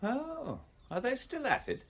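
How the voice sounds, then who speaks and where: An actor playing a shrewd, coolly sardonic stranger in a radio drama, questioning directly and teasingly. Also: male voice, extremely high pitch, huge pitch swings, measured pace, a bit bright, breathy edge, exaggerated voice.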